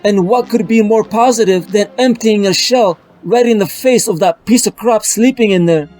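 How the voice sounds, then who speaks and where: A man's voice: high voice, a little mellow, faint music behind, natural voice. A man voicing a bitter, vengeful male prison guard who talks grimly and in a rush. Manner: grim, hurried.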